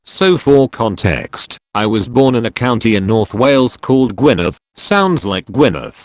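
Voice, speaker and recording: A man narrating a story read from an online forum in an animated storytelling manner. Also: medium pitch, unhurried, no echo, clean studio sound. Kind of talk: reading online stories aloud.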